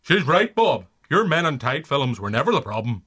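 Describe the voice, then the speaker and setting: Man playing a lively, feisty old film director. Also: on the high side, moderate pace, breathy edge, exaggerated voice. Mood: indignant. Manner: excitable, sweary. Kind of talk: radio drama acting.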